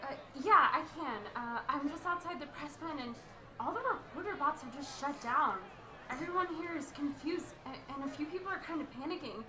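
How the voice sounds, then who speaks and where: A woman's voice: sits high, lively intonation, moderate pace, sharp tone, a faint crowd. A woman playing a scatterbrained young radio reporter in an amateur radio play, rambling and stammering as she goes. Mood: alarmed, confused. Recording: roomy, echoing sound.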